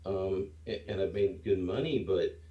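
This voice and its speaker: A man's voice: low-pitched, measured pace, echoey room, highs cut off. A man in his sixties, a trader in a mentoring program, chatting in an easygoing, rambling way. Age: 60s. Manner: easygoing, rambling, laughs at himself. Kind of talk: casual chat.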